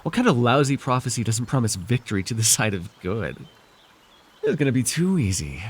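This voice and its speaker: A man voicing a scheming, weary villain lord in an immersive fantasy ASMR roleplay, wry and persuasive, speaking theatrically with halting, musing pauses and sighs. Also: mid-range voice, sing-song, husky. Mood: weary, brooding. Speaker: voice actor.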